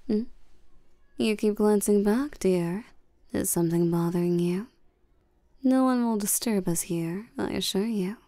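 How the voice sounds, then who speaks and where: A woman playing a regal, seductive and domineering vampire queen in a scripted roleplay audio drama, coaxing and commanding the listener with soft menace. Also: medium pitch, a little slow, long pauses, dry recording, studio quality, natural voice. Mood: smooth, probing.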